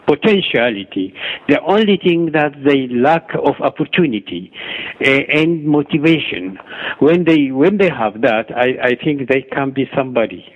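A middle-aged Ethiopian-accented man speaks very slowly and haltingly, repeating himself as he feels for each phrase. His voice comes through a dull, lo-fi radio recording. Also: medium pitch. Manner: halting, repeats and restarts words. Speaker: guest author and doctor. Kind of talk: interview answers.

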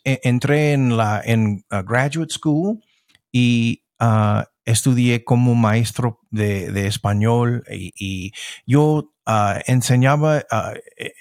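A middle-aged man speaks in a mid-range voice. He talks slowly and haltingly, with many restarts and fillers. The sound is dry and close. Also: medium pitch, dry recording. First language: English. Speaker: podcast guest. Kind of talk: casual interview chat.

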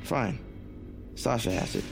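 Wry crime boss in an audio drama, smooth talker in a corner, dry delivery, music loud under him. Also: male voice, fairly low pitch, wildly swooping pitch, slow, heavily acted. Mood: wry, stalling. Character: sardonic criminal mastermind, cornered but smooth-talking. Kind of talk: audio fiction acting.

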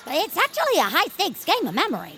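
Bright, high-voiced wily henchwoman. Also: wildly swooping pitch, fast talker, a little hiss or hum, exaggerated voice. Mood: cheeky, sly. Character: brash, wily henchwoman to a villain boss. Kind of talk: comic audio drama acting.

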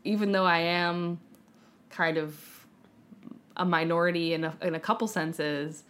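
Low-voiced American woman chatting casually. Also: fairly even intonation, a little slow, fairly bright tone. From United States (San Francisco). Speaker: podcast guest, filmmaker and actor. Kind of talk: casual conversation.

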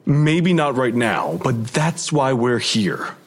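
A man's voice: medium pitch, lively intonation, takes it slowly, slight background noise. An actor playing a prim, worried father, formal and fussy, in an audio drama. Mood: anxious.

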